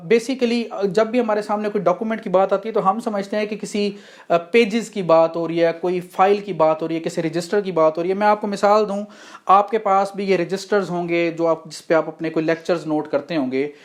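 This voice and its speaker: A woman's voice: medium pitch, steady tone, a little fast, darkish tone. A woman with a Pakistani accent lecturing on law in an explanatory, didactic way, often posing questions and answering them.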